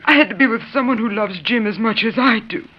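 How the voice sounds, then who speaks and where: A woman's voice: fairly high pitch, fast talker, close to an everyday voice. Woman playing distraught young western sweetheart. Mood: pleading. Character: distraught, loyal young sweetheart of condemned man. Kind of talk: old-time radio melodrama acting. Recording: muffled, noisy old radio recording.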